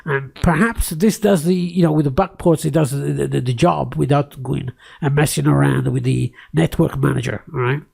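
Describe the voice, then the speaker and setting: A man's voice: on the high side, fairly even intonation, moderate pace, gravelly, few pauses, darkish tone, slightly rough. A man who is not a native English speaker, rambling and ranting in a casual tech screen-recording commentary, close to the microphone, with many fillers and restarts. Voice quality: close-miked. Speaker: tech YouTuber.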